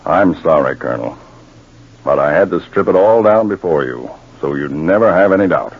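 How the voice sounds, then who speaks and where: An actor playing a firm, methodical counterespionage agent, grave and deliberate, in 1940s radio drama. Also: male voice, sits low, lively intonation, husky, exaggerated voice. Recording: dull 1940s radio recording.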